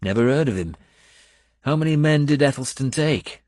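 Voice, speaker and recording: A man's voice: mid-range voice, animated, normal speed, a little mellow, no room sound, clean studio sound, voice pushed up, natural voice. A male narrator playing a seasoned warlord who speaks in a probing, commanding manner. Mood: protesting. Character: seasoned male warlord, gentle with her, bitter about war.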